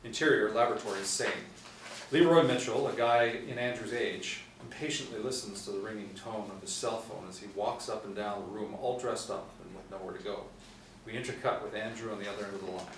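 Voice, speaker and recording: A man's voice: lowish voice, fairly quick. Middle-aged man narrating in echoey room. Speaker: narrator reading stage directions. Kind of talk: scripted narration at a table read. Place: small indoor room, seated reading from tablet. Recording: echoey room, distant mic, faint noise.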